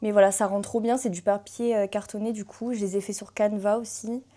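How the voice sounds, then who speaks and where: Young adult woman, casual vlog host; mellow, relaxed delivery, rambling with filler pauses. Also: mid-range voice. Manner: chatty, fills pauses with hesitations. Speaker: book content creator.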